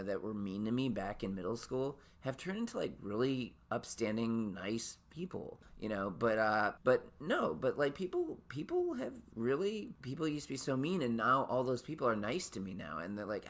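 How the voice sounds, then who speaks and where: A man in his late thirties hosting his podcast in a casual solo monologue. His voice sits naturally high, and he rambles with lots of restarts and fillers. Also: a bit bright. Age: late 30s. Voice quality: naturally high voice he can drop lower. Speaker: podcast host.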